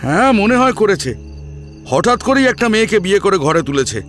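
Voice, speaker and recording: A man voicing a gossipy, scornful young friend in an audio drama, excitable and colloquial. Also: high-pitched, a little fast, faint music behind, pitched above the performer's own voice. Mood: scornful gossiping.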